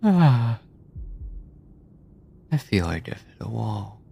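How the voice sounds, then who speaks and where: Man acting male mad scientist captor, horror ASMR roleplay, husky and breathy, slow delivery with swooping intonation, obsessive, coldly doting, gloating. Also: on the low side, a little hiss or hum, full character voice. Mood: excited, teasing. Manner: clinical, condescending endearments, theatrical drawn-out pauses and breathy whispering. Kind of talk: horror ASMR roleplay, intimate monologue to listener.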